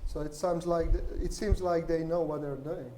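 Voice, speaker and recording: A man's voice: a little high, steady tone, mellow. A man hosting a local startup event, asking questions with frequent restarts. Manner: halting, with restarts. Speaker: event host, interviewer. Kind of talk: interview questions. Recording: noticeable background noise.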